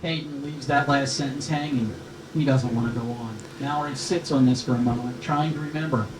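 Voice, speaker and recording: A man's voice: mid-range voice, steady tone, a little fast. Echoey male narrator reading a screenplay. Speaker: narrator reading stage directions. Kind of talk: scripted narration. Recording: roomy, echoing, clearly noisy recording.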